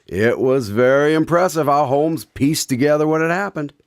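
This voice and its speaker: A man's voice: fairly high pitch, moderate pace, clean tone, dry recording, clean studio sound. A man hosting a radio drama in a scripted style, chatting about the story and reading the credits. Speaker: radio drama host and announcer. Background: music under the voice.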